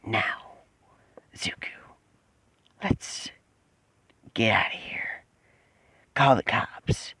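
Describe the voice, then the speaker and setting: A man's voice: on the low side, sharp tone, no room sound, pitched below the performer's own voice, exaggerated voice. Man putting on a sinister whispering creature. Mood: commanding. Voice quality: breathy whisper. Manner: slow, menacing, drawn-out delivery. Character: sinister male creature voice inside a boy's head, darkly joking.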